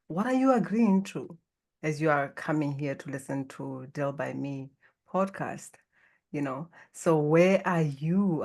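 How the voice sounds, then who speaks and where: A woman from Botswana whose first language is Setswana, a guest on a podcast who speaks in a calm, reflective and inviting way. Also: sits low. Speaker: guest, life coach. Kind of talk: podcast interview.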